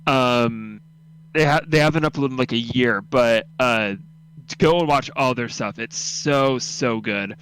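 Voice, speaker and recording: A man's voice: mid-range voice, a little slow, fairly bright tone, clean tone, poor audio. A young man who hosts and narrates an amateur radio drama, moving between casual chat and scripted story reading.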